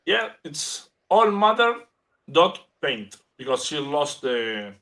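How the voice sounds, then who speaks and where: Halting Argentinian man on a call. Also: fairly high pitch, sing-song, sharp tone. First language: Spanish. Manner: halting, restarts words, searches for phrasing. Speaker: guest artist. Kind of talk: casual chat.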